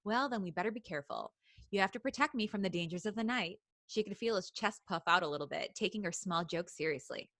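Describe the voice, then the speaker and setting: A woman with a bright voice and a close, dry sound reads a story aloud like a podcast co-host among friends. She is playful and giggly, happy to wander off into casual banter. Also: mid-range voice, fast, no room sound. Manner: playful, giggly, digresses from the reading. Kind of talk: casual read-aloud of fan fiction with banter.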